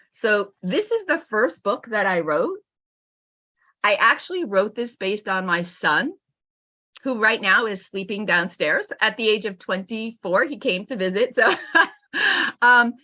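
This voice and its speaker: A middle-aged woman speaks as a teacher trainer, with a high voice that swings expressively up and down. She is encouraging and emphatic as she explains to an online group, and the call audio is slightly dull and lo-fi. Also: sharp tone. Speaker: teacher trainer and read-aloud presenter. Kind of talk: teaching webinar with animated picture-book reading. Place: at home on an online video call.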